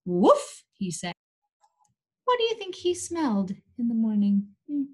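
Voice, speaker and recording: A young woman reading a storybook aloud to small children in an animated, coaxing way, pausing to ask them questions. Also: fairly high pitch, husky. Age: young adult. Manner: animated, coaxing, exaggerated delivery for young listeners. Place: small indoor room.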